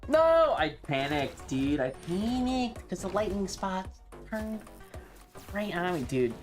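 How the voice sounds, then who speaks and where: A woman's voice: medium pitch, very animated, slow, soft background music. A woman streaming a game and chatting casually in a sweary, offhand, conversational way. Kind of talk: casual livestream chat while gaming.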